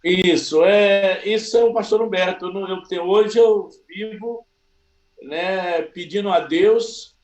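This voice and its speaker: A man from Salvador, a pastor giving a slow, humble personal testimony. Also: high voice, a little mellow, roomy sound. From Salvador, Bahia, Brazil.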